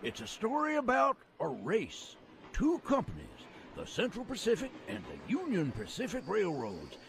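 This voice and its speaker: Game narrator: jovial Western old-timer, folksy drawl, crackly gravel in a high-set voice, theatrical storytelling. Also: male voice, heavily acted. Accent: American Southern or Western drawl. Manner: colloquial, theatrical drawl with chuckles.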